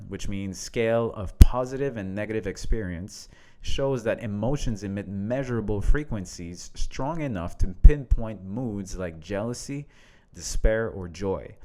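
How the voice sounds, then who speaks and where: A man with a French accent talking in a relaxed, reflective way about mindset and leadership, laughing now and then. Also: fairly low pitch, a little slow. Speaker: co-host, coach. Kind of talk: casual conversation.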